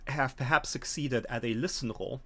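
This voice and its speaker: Middle-aged male game master, mid-range voice, animated explanatory narration over a video call. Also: mid-range voice, normal speed. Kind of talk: explanatory tabletop scenario narration. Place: small home room, on a video call.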